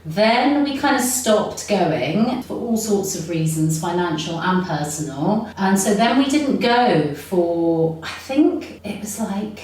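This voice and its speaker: A woman's voice: medium pitch, lively intonation, slow, hardly any pauses, a little mellow, recorded from a distance. A woman in her forties with a London accent, a podcast guest giving reflective, flowing answers full of filler words. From London, England. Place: room recorded in person. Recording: very echoey room, set back from mic, faint noise.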